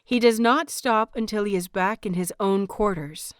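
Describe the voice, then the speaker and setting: A woman reading a story as scripted dramatic narration, measured and deliberate, with dramatic pauses. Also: mid-range voice, smooth, no room sound, clean studio sound. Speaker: audio fiction narrator.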